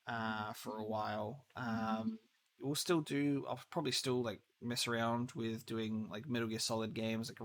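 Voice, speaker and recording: A man streaming games, chatting casually to viewers in a rambling, hesitant way as if thinking aloud. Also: mid-range voice. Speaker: game streamer. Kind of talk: casual livestream sign-off chat.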